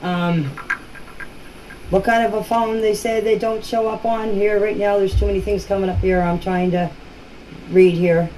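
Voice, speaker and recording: A woman leaving informal voice messages for a group chat, explaining things in a halting, repetitive way. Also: mid-range voice, fairly even intonation, mellow. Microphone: phone. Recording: noisy phone voice clips.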